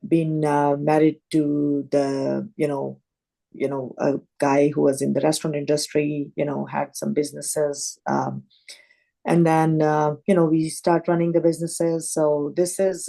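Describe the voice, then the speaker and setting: A woman introduces her restaurant over a video call, her voice low and gravelly. She talks in halting bursts and leans heavily on "you know" and "um" as she goes. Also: mellow. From Pakistan. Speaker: restaurant owner. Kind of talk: casual presentation on a video call.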